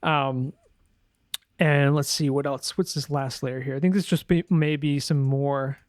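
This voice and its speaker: A man's voice: medium pitch, steady tone, unhurried, no echo, studio quality. A man talking casually through a screen-share tutorial as a sound designer, informal and loose, thinking aloud as he goes.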